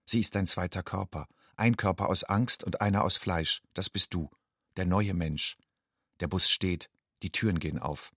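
A husky, low-pitched stark narrator of a refugee's fear in an audio drama. The sentences come short and matter-of-fact. The sound is dry, with no room around the man's voice. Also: moderate pace, a bit bright, no room sound, heavily acted. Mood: stark, uneasy.

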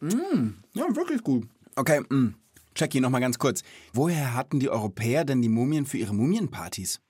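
A woman hosting a children's podcast in casual, playful chat, with colloquial, expressive talk. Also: very deep voice, normal speed, slightly rough, dry recording.

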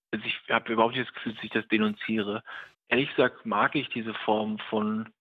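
Male interviewee, writer. Flat intonation, thinks aloud tentatively, tinny telephone-quality sound. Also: lowish voice, husky. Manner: tentative, searching for words, self-correcting. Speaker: writer and radio play director being interviewed. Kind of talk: reflective interview talk about own work. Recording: tinny phone-like line.

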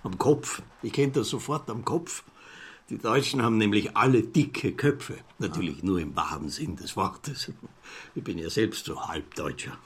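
An actor playing a chatty, self-important greying traveller of about fifty in a radio play, rambling along with an Austrian accent and rhetorical questions. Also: male voice, fairly low pitch, expressive, unhurried, breathy edge. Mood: chatty, amused.

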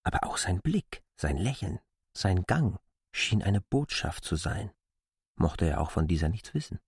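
An actor playing a formal, self-assured newcomer in a literary radio drama, with a husky, deliberate delivery. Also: male voice, sits low, big pitch swings, darkish tone, dry recording, heavily acted. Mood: formal, composed. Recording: dry studio with faint music.

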